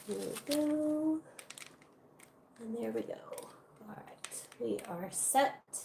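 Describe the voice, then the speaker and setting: A woman's voice: a little high, expressive, slow pace, creaky. A woman hosting a casual art livestream, chatting with viewers as she works, her voice a little congested. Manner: chatty, talks to viewers while working. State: slightly congested. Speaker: art channel host. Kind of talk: casual livestream chat while crafting. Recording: roomy with faint room noise.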